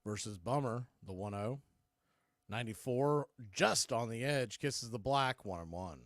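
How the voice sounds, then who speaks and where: A man's voice: medium pitch, lively intonation, a little slow, dry recording, studio quality. A man working as a baseball radio announcer, calling the game live in short, clipped phrases. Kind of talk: live baseball play-by-play commentary.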